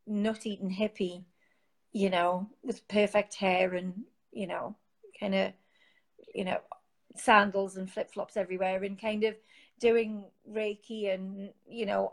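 Geordie woman, interview guest, casual, rambling, phone mic. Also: mid-range voice. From Newcastle, England. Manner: chatty, rambling, with many fillers and restarts. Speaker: guest, founder of an online support group. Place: home, sitting on a sofa.

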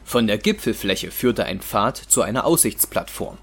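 A man's voice: on the low side, expressive, moderate pace, a bit bright, breathy edge. A man reading scripted narration as the storyteller of a German audio drama.

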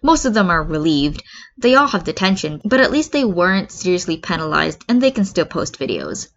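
A woman's voice: fairly low pitch, very animated, brisk, a bit bright. A woman narrating a scripted piece of fan fiction as a reader. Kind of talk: scripted story narration with acted dialogue. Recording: dull lo-fi recording.

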